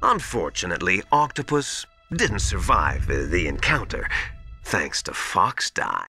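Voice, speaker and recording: An actor playing a smug, mocking villain in a video game cutscene, drawing out words theatrically. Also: male voice, sits low, wildly swooping pitch, pushed and bright, slightly rough, faint music behind, heavily acted. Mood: menacing triumph. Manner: drawn-out, gloating, theatrical delivery. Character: scheming villain posing as a trusted mentor, smug and mocking.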